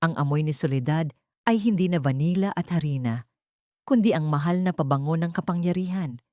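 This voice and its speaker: A woman's voice: deep voice, a little fast, mellow, no room sound, studio quality. A woman reading a scripted dramatic story as its narrator.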